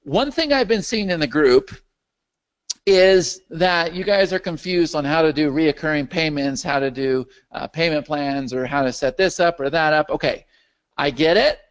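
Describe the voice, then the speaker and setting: A man hosting a live question-and-answer video call for his software's users. His voice is worn from a long day of talking, and he keeps checking that his listeners are following. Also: fairly high pitch, normal speed. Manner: animated, checks in with the audience often. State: losing his voice. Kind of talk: live webinar Q&A with screen share.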